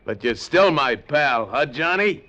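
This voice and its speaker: An actor playing a blunt, loyal gold prospector in a 1940s radio adventure drama, gruff and commanding. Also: male voice, on the high side, expressive, measured pace, lo-fi sound. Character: rough, loyal jungle gold prospector man. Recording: old radio broadcast with loud hiss.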